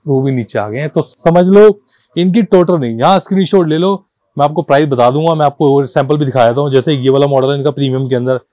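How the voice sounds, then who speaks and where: A man from presents goods in an informal sales pitch. His voice sits slightly high and is recorded dry, with little room sound. He is insistent and repetitive, addressing viewers like brothers and switching into English for numbers and terms. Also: a little mellow, no room sound. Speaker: car accessories shop seller presenting stock.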